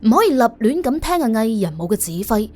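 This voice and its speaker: 一個女人用粵語演繹有聲偵探小說，照稿講故事，做旁白。. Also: female voice, fairly high pitch, big pitch swings, quick pace, a little mellow, faint music behind. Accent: Cantonese. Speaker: audiobook narrator. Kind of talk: expressive scripted detective-story narration.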